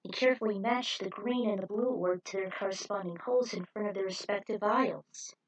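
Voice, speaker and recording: A woman's voice: mid-range voice, a little fast, poor audio. A woman narrating a scripted adventure story in a dramatic read-aloud manner, with the occasional stumble. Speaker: narrator reading own fan fiction.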